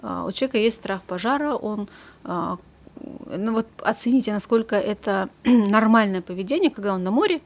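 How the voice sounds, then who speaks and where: A woman psychologist, a guest in a studio conversation, calmly explaining and trailing off softly at the ends of her phrases. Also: medium pitch, normal speed.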